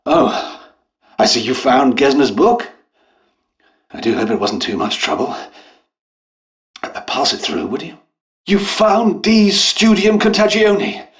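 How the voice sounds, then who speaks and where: Slow-speaking, genteel British doctor. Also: male voice, mid-range voice, huge pitch swings, full character voice. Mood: courteous, busy. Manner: genteel, hesitant, old-fashioned politeness. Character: polite, dutiful, harried English royal doctor and scientist. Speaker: video game voice actor. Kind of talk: scripted game character dialogue.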